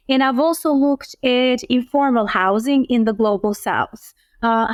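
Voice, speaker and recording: A woman from Albania, an urban planning professor, answering interview questions in a measured, explanatory way with frequent ums. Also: a little high, clear, resonant tone, no echo.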